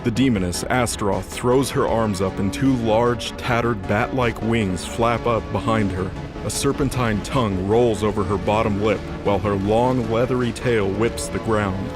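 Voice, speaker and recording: Low-voiced male audio drama narrator. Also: fairly even intonation, moderate pace, hardly any pauses, music in the background.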